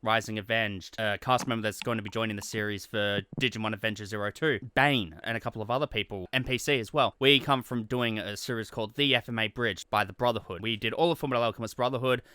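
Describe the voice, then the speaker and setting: A man hosting a relaxed interview, chatting casually and putting questions to his guest. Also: fairly low pitch, quick pace, few pauses, clear, resonant tone, dry recording. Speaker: interviewer and series host.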